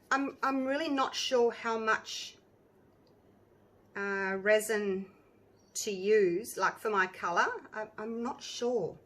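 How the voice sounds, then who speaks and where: A woman with a croaky voice, chatting casually to viewers as she demonstrates a resin craft project. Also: on the high side, a bit bright. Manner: chatty, rambling, talks to viewers while working. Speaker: resin craft channel host.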